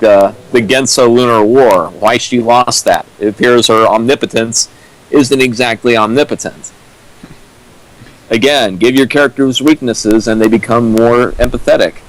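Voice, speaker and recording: A man's voice: medium pitch, measured pace, rough recording. A young man chiming in with dry comments and fan lore during a comedic reading. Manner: dry, explains lore with fillers. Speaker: co-host riffing on a fan fiction.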